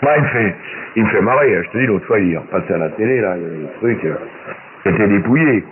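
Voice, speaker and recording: A man's voice: lowish voice, animated, fast. A man chatting casually over amateur shortwave radio, speaking in a slangy, crude and hesitant way. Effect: shortwave ham radio transmission. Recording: narrow-band shortwave radio with faint static.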